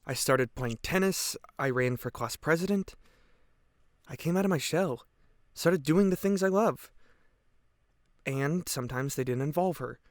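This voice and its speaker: A man's voice: fairly high pitch, lively intonation, unhurried, long pauses, no room sound, studio quality. A man acting a guilt-ridden, earnest teenage boy giving hesitant, reflective testimony in an audio drama. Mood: earnest, defensive. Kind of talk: audio drama monologue, police interview testimony.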